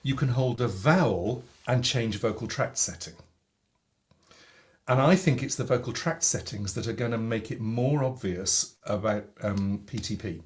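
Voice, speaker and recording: A middle-aged man, a voice coach, demonstrating singing technique in a relaxed, demonstrative manner from a home studio. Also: mid-range voice, a little slow. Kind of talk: teaching demonstration with sung vowels. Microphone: studio microphone with headphones.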